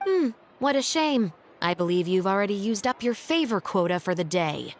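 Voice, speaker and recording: A woman's voice: mid-range voice, animated, normal speed, a little hiss or hum, close to an everyday voice. A woman playing a stern, dry officer. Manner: level and dryly teasing. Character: stern, wry young woman patrol officer.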